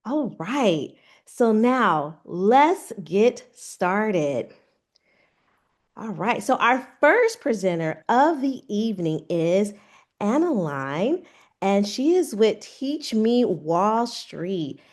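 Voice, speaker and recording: A woman hosts a virtual fair over a video call, reading a scripted welcome in a friendly announcer tone. Her voice is of medium pitch. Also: sing-song, very slow. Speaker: event host. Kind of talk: scripted event introduction.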